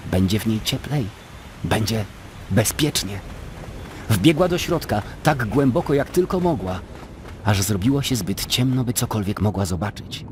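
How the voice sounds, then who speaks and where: A man narrating a scripted story as a dramatic, expressive storyteller in an audio drama. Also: on the low side, slight background noise.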